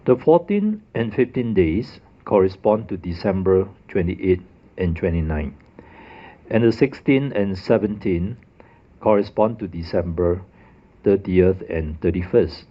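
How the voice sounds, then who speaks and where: A man's voice: deep voice, expressive, a little slow, lo-fi sound. A man narrating a methodical, scripted explanation of dates and a calendar puzzle. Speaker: religious video presenter.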